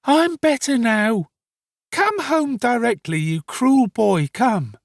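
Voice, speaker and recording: Guy doing a hysterical young woman for an audiobook, super high, wailing and fake distressed. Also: animated, slow pace, vocal fry, no echo, clean studio sound, full character voice. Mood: tearful, scolding. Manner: wailing, melodramatic exclamations.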